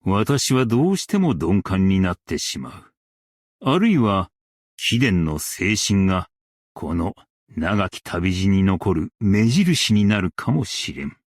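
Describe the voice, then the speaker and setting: Dignified scholar-strategist, game character, low pitch, unhurried archaic formal phrasing, stern but merciful, dry close sound. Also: male voice, big pitch swings, no room sound, full character voice. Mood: quiet, reflective. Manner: formal, archaic, measured speech. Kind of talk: Japanese game character voice lines.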